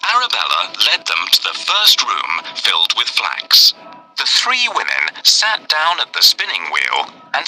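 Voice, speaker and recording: A man narrating a scripted children's fairy tale in an expressive, deliberate way. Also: medium pitch, poor audio. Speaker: storyteller.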